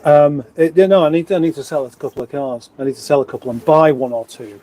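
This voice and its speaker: A man's voice: on the high side, fairly even intonation, fast, warm tone. Rambling man with a runny nose. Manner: rambling, chatty, with wry asides and sighs. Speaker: livestream host. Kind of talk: casual livestream chat, reading out comments. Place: garage.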